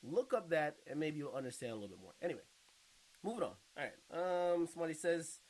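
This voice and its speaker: Casting: man for casual reaction videos, higher-than-average voice, very animated delivery, slangy and bluntly derisive. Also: quick pace, poor audio. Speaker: video commentator reading viewer comments.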